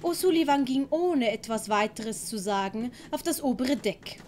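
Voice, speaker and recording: A woman's voice: on the high side, lively intonation, moderate pace, darkish tone, slight background noise. A woman narrating an audio drama in scripted storytelling style.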